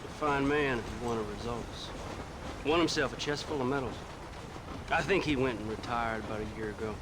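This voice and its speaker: A man's voice: medium pitch, normal speed, natural voice. An actor playing a jaded, talkative American government lawman in a Western game, folksy and wry. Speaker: video game character. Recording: clearly noisy outdoor ambience.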